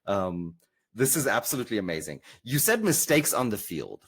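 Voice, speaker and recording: This is a South African man interviewing a guest, eager and quick to jump in. His voice sits low with a husky edge. It rises and falls a lot as he repeats himself and piles on praise. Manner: animated, enthusiastic, stumbles over word starts. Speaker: podcast host. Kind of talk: casual interview conversation.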